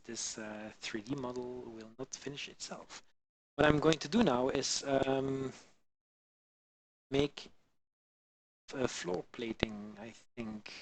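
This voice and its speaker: A man from Belgium chatting casually with his live-stream viewers on a headset mic while modelling, halting and full of fillers. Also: medium pitch, gravelly, background noise. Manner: halting, many fillers, answers chat viewers. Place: home in the Alpine region.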